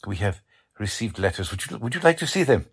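A man playing a humble, kindly immigrant baker in a radio drama, with a halting, gentle way of repeating himself. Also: lowish voice, very animated, gravelly, slightly rough, exaggerated voice. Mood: humble, earnest. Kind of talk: old-time radio drama acting.

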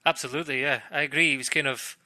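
A man's voice: fairly high pitch, fairly even intonation, fast talker, bright, forceful tone, no room sound. A man giving casual, analytical football punditry, hesitating and repeating words as he thinks. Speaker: football pundit, former defender.

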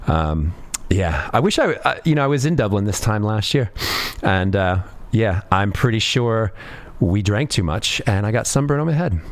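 A man's voice: lowish voice, expressive, normal speed. A man hosting a radio music show, chatting in an easygoing, rambling way with lots of ums and uhs, a little sniffly from a cold. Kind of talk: laid-back radio DJ chat.

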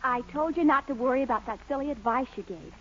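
An actress playing an anxious, bossy young sweetheart in a 1940s radio sitcom. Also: female voice, high voice, quick pace, natural voice. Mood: breezy. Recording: muffled, noisy old 1940s radio recording.

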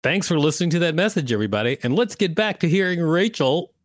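A man's voice: fairly high pitch, big pitch swings, quick pace, no room sound, studio quality. A man co-hosting a podcast, reading articles aloud and bantering in a playful, joking way. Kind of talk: read-aloud narration with casual banter.

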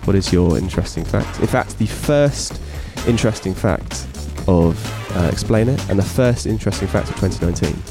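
Guy hosting a tech podcast, talks fast, lowish voice, playful and chatty. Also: animated, music in the background. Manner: quick, chatty, playful questioning. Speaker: podcast host.

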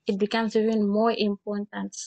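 A woman's voice: medium pitch, monotone, normal speed, gravelly, dark tone, no echo. A young woman hosting a podcast in a casual, conversational interview style. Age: young adult.

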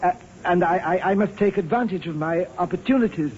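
An actor playing a humble, earnest, deferential manservant with courteous, formal diction in 1930s Hollywood film style. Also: male voice, sits high, fairly quick, darkish tone, natural voice. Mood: earnest, nervous. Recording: muffled noisy old film soundtrack.

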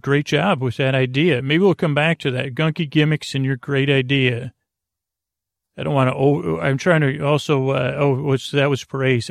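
Creaky-voiced man rambling soothingly at bedtime. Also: mid-range voice, no room sound, clean studio sound. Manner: meandering, halting, often trails off and restarts mid-phrase. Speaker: podcast host.

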